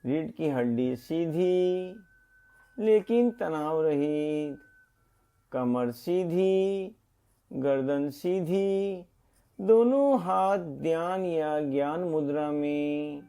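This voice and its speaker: Very slow, mellow male meditation guide. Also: a little high, smooth. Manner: soothing, deliberate, with pauses and gentle repetition. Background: meditation music.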